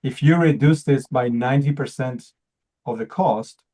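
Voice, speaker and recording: Man, native Hebrew speaker, mellow dark tone, earnest presenter, halting restarts. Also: mid-range voice. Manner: earnest, repeats words while searching for phrasing. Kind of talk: pitch presentation to an audience.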